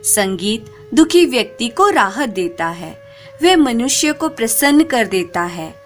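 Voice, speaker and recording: A woman voicing an elderly male courtier in a folk tale, gentle and respectful as an advisor. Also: a little high, sing-song, slow pace, faint music behind. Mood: gentle, advising.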